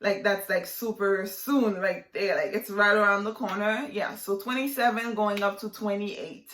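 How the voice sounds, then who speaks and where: A woman's voice: medium pitch, steady tone, normal speed, fairly bright tone, reverberant, a little hiss or hum. A woman in her twenties from Haiti, chatting casually to her viewers in a vlog, full of fillers and "like". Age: 20s. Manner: chatty, lots of fillers and likes. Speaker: vlogger. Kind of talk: casual vlog chat to camera.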